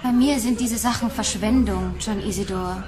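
A woman's voice: medium pitch, moderate pace, a crowd in the background, lightly acted. A woman playing a detached, melancholy female android in a German radio drama.

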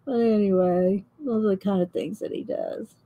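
A woman, chatting loudly while she paints and tells stories on her coloring livestream, laughing often and answering viewers. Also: mid-range voice, moderate pace, phone-quality sound. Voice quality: loud voice. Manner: chatty storyteller, laughs often, reads live chat. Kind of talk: casual chat while painting. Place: home craft table, indoors.

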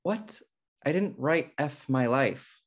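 A man's voice: a little high, animated, slow. Guy acting as fussy exasperated brother, tidy type, comedy script read on a video call. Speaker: actor in a table read. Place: indoor room on a video call.

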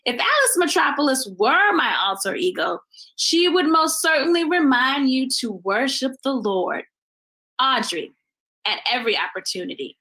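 A middle-aged American woman giving a prepared thank-you speech in deliberate, measured phrases. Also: high voice, big pitch swings, bright, forceful tone, low-quality recording. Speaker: artist giving thanks at an exhibition opening. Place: indoor room at home, video recording.

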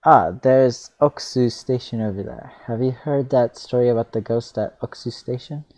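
A man's voice: mid-range voice, moderate pace, darkish tone, close to an everyday voice. A man voicing a friendly, curious taxi driver while reading a visual novel aloud.

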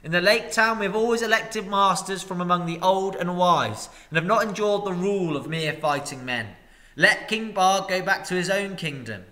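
A man voicing a pompous, self-serving older town master who makes loud, indignant speeches. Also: high-pitched, pitched above the performer's own voice. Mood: haughty.

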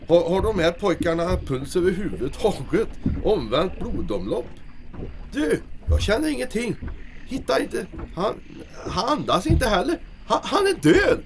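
Man as befuddled seaman husband, high voice, excitable, stammering, comic audio drama, clear background noise. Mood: uneasy. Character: flustered, slow-witted middle-aged seaman husband. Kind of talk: comedic audio drama acting.

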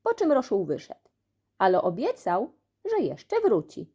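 A woman reading a children's storybook aloud as an expressive audiobook narrator. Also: mid-range voice, a little slow, slightly rough. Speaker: audiobook reader.

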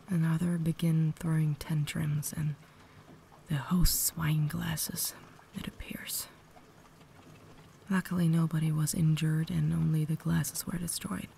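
A woman's voice: low voice, vocal fry, slight background noise. A woman playing a cultured, caring vampire lady in a refined, whispered ASMR roleplay, holding herself back. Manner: refined, formal, soft-spoken whisper.

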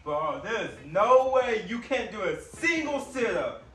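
A young man playing a cocky gym braggart in a comedy skit, jeering in a drawn-out, taunting singsong. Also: sits high, very animated, fairly bright tone, slight background noise, full character voice. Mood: mocking. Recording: echoey garage, distant camera mic.

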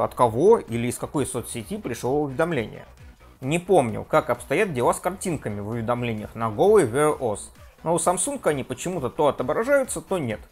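A man in his thirties hosting a scripted tech review vlog from a room at home, lively and self-mocking. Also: medium pitch. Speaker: tech video host. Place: home room with desk and gear.

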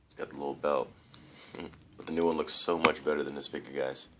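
A man's voice: very deep voice, level tone, fairly quick, fairly bright tone, slight background noise. A young man with a stuffy, congested voice, rambling casually to viewers as he reviews a toy at home. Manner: rambling, loose, repeats fillers and addresses viewers as guys. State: congested, nasal from allergies. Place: room at home, indoors.

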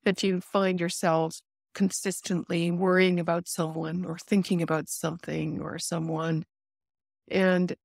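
Female meditation guide, lowish pitch, calm instructional delivery with gentle pauses, very dry sound. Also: vocal fry, dry recording. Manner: soothing, unhurried, instructive with pauses.

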